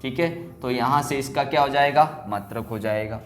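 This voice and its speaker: A man's voice: mid-range voice, normal speed, a bit bright, a little hiss or hum. A man teaching a physics class at a board, explaining and dictating, repeating key phrases and asking check questions. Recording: echoey room.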